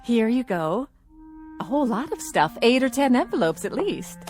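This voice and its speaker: A woman's voice: a little high, sing-song, moderate pace, a little mellow, faint music behind. A woman acting in a radio drama as a nosy, indignant widowed landlady in her fifties, plain-spoken and huffy. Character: nosy, indignant fifty-four-year-old widowed landlady.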